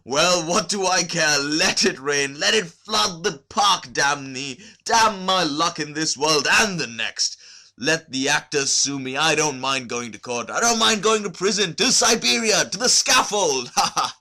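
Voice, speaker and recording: A small, histrionic theatre manager acted out by a man reading a story, full of self-pity. He wails and exclaims in a high, strained, loud voice, bright and piercing, milking every misfortune. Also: a little slow, heavily acted. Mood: hysterical defiance. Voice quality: thin falsetto-leaning voice, strained.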